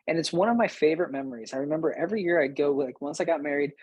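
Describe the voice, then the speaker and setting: A man's voice: a little high, rapid-fire, a little mellow, slightly rough, dry recording, highs cut off. A man in his late thirties hosting a show, telling personal stories conversationally and repeating phrases for emphasis. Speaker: host, business coach. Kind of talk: personal storytelling to listeners.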